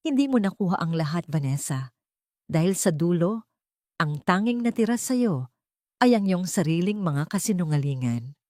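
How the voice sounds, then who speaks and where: A woman voicing a dignified wronged wife and army colonel, cold, measured and commanding, in an audio story. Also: on the low side, lively intonation, dark tone, dry recording, studio quality, natural voice. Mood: cold, resolute.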